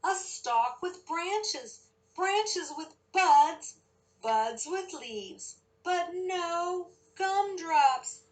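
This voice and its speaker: A woman reading a picture book and teaching young children, speaking deliberately and putting questions to her listeners. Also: extremely high pitch, animated. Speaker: children's story reader and teacher.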